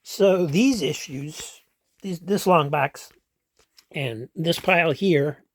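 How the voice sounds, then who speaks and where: A man casually walking through his comic collection room, rambling and explaining with frequent ums and restarts, a bit hoarse as he's losing his voice. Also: on the high side, takes it slowly, no room sound. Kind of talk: casual walk-through vlog.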